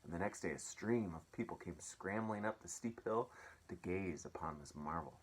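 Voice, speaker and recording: A man reading a children's story aloud as an expressive storyteller. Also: low voice, moderate pace, breathy edge.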